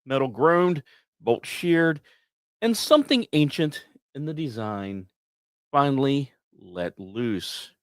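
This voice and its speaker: A man's voice: mid-range voice, animated, slow pace, dry recording, clean studio sound. A man hosting a podcast, reading scripted space news like a dramatic story with conversational asides. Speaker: podcast host.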